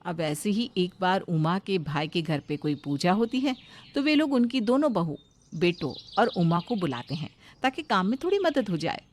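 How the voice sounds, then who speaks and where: A woman's voice: on the low side, sing-song, normal speed. A woman giving scripted narration for a cartoon moral story, as its narrator.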